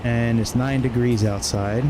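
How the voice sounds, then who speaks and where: A man's voice: mid-range voice, fairly even intonation, a little slow. Muttering, profane man over background hum. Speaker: flight sim streamer. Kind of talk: casual livestream commentary while flying a simulator. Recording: clear background noise, vehicle-like hum.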